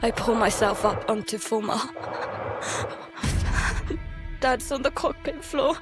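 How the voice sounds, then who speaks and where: A woman playing a terrified young daughter, narrating an audio drama in the first person in halting, emotional fragments. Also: on the high side, loud music behind the voice, natural voice. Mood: grief-stricken, shocked. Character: terrified young daughter recounting a monster attack at sea.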